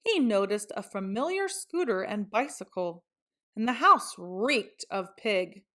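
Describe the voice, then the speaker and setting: A woman reading a picture book aloud to children in an expressive storytelling style. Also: mid-range voice, unhurried. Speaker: storybook reader.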